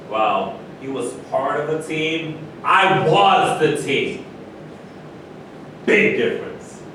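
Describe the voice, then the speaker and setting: Casting: actor as boastful male athlete, sardonic, deliberate delivery, heard from afar in a reverberant room. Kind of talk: staged reading of a play. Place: echoey room at a live staged reading. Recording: very echoey room, distant mic, loud rumbly noise.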